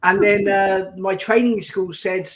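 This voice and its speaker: High-voiced chatty Englishman. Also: flat delivery, a little slow. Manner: chatty, rambling anecdotes. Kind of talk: casual chat.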